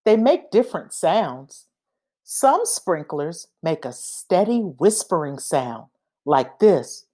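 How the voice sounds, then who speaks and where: A woman reading a children's picture book aloud in a deliberate, expressive manner. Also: lowish voice. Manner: deliberate, expressive, acts out sound effects. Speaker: read-aloud reader.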